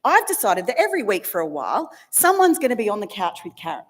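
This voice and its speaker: A woman's voice: medium pitch, wildly swooping pitch, quick pace. A woman minister interviewing a church member in a casual, chatty way, laughing easily. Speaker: minister leading worship.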